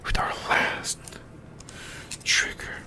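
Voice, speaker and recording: A YouTuber whispering an ASMR anime discussion in a halting way, in short broken phrases. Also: pushed and bright. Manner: whispering throughout.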